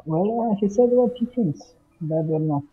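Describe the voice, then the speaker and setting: A man's voice: sits high, animated, moderate pace, slightly rough, phone-quality sound. A man chatting casually while streaming a game, rambling and dropping "you know?" into most sentences. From Romania. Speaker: gaming streamer. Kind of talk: casual chat while gaming.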